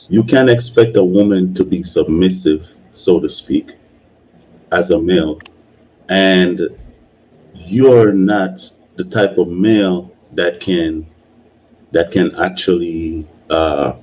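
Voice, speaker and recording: A man's voice: sits low, dark tone, muffled recording, a little hiss or hum. A man casually giving opinions and advice in a live discussion, repeating himself for emphasis and often checking in with "you know". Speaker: video creator hosting a discussion.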